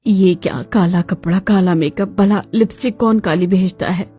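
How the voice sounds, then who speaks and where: A woman acting the dramatic, expressive daughter and chatty female relatives of a cartoon family story. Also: medium pitch, moderate pace, close to an everyday voice. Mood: anxious, dismayed. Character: young unmarried daughter and her chatty women relatives, expressive. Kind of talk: Hindi cartoon story dialogue. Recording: music bed behind the voice.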